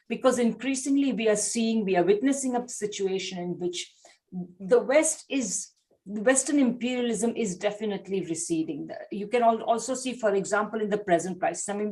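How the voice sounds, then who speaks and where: A woman's voice: medium pitch, darkish tone. Emphatic woman making panel remarks. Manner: emphatic, rephrasing as she goes. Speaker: panel speaker.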